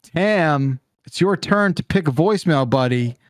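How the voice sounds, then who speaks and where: A man's voice: a little high, animated, a little slow, no echo. A man hosting a podcast, chatting casually into a close microphone. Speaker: podcast host. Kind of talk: casual chat. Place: indoor room, close studio mic. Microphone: microphone on boom arm, headset.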